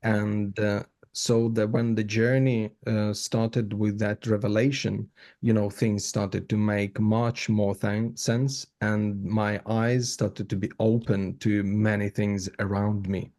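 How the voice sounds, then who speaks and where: A man from Ukraine with a Ukrainian accent, giving reflective interview answers in an earnest, halting way with repeated words. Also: on the low side, little pitch movement, a little mellow. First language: Ukrainian or other non-English. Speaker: podcast guest.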